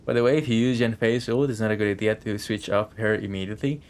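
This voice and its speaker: A man's voice: lowish voice, fairly even intonation, fast. A man live streaming a game, chatting informally, swearing and thinking aloud as he plays. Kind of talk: casual live playthrough commentary.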